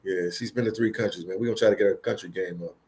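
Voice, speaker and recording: A man's voice: lowish voice, steady tone, racing, darkish tone, a little hiss or hum. A middle-aged American man hosting a casual livestream, reading viewer comments aloud in a laid-back, slangy, teasing way. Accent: American English.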